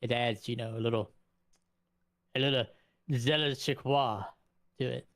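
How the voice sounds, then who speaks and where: A man bantering casually in character as a player in a tabletop roleplaying game, with halting, drawn-out phrasing. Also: medium pitch, fairly even intonation, fairly bright tone, no echo.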